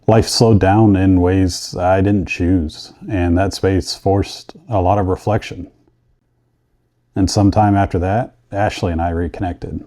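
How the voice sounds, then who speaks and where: A middle-aged man delivers scripted personal storytelling. His voice sits low, with a very dark, relaxed tone. He speaks earnestly, in short, deliberate phrases. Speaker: vlogger telling his own story.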